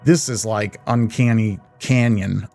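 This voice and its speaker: A man's voice: fairly low pitch, steady tone, slow, vocal fry, over music. A man hosting a reaction video, giving informal, jokey commentary in a rambling way. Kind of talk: casual, profane reaction commentary.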